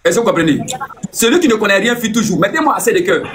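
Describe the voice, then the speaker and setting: A man presses his point in a heated live debate, repeating himself. His high voice swings up and down as he talks fast. A faint hiss sits behind him. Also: very animated. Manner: insistent, repeats words, cuts in. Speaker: live stream host.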